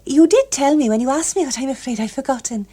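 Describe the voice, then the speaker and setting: Reader as a naive young woman, high, eager. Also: lively intonation, quick pace, mellow. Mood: polite, eager. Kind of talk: audiobook character voice.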